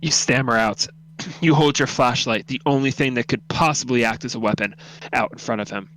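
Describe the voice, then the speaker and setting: A man's voice: medium pitch, fairly quick, a bit bright, poor audio. A man reading a horror story aloud as narrator, dramatic, intense and suspenseful.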